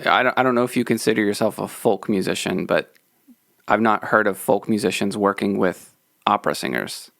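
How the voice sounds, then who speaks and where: A man's voice: fairly low pitch, a little fast, gravelly, fairly bright tone, no echo, studio quality. A man interviewing a guest, attentive and a little hesitant in his questions. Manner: attentive, agreeable, hesitant phrasing.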